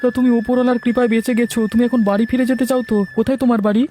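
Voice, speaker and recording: A man's voice: very high-pitched, little pitch movement, rapid-fire, mellow, faint music behind. A man voicing a helpful, exclaiming cartoon fisherman. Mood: kindly. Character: animated, helpful river fisherman. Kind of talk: cartoon dubbing.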